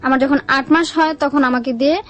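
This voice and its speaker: A woman's voice: high-pitched, fairly even intonation, fairly quick, dull audio, faint music behind. A young adult woman with a Bangladeshi Bengali accent telling her life story in a colloquial, plain-spoken way as an interview guest.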